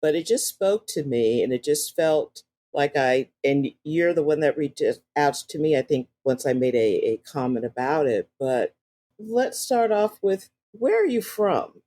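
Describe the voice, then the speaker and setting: A woman hosting a podcast, speaking in an earnest, reflective and gently enthusiastic way. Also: deep voice, expressive, unhurried. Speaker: podcast host. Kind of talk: conversational podcast interview.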